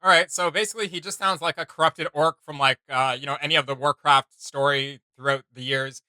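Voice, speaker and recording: Bright-voiced man hosting casual reactions. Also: fairly high pitch, steady tone, brisk, smooth, no room sound. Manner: conversational, rambling. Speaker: reaction video host.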